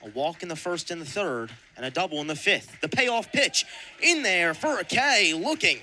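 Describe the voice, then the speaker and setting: A young man calling baseball play-by-play for radio in crisp announcer phrasing with punched-up calls. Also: sits high, lively intonation, normal speed, bright, forceful tone, slight background noise. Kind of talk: live radio sports commentary.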